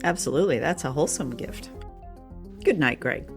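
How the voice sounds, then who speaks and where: Woman podcast co-host chatting over soft music. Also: low-pitched, expressive, normal speed. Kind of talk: casual chat, informal storytelling.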